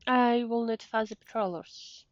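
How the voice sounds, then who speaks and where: A woman's voice: on the high side, steady tone, normal speed. A woman streamer giving casual game commentary, thinking aloud and reading text from the screen. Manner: murmured acknowledgements, thinking aloud. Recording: muffled mic over faint game music.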